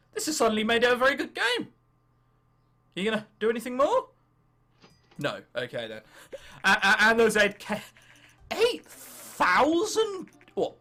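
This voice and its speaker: Young male gamer, English accent, animated swooping delivery, jokey and sweary, high voice, music behind. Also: measured pace, long pauses. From England. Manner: jokey, sweary, self-interrupting. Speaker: gaming video host. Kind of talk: casual let's play commentary, reading game text aloud.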